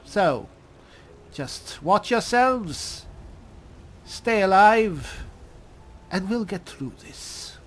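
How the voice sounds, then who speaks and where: A man voicing a wry high elf spellsword and reluctant leader in a video game roleplay, with dry, halting, deliberate delivery. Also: animated, heavily acted. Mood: wry rallying.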